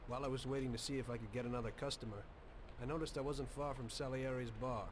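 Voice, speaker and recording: A man's voice: mid-range voice, steady tone, fast talker, noisy background, close to an everyday voice. An actor playing a plain-spoken young cab driver, wryly telling his story in a crime game. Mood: reflective. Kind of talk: first-person story narration and game dialogue. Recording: loud music over the voice. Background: loud music.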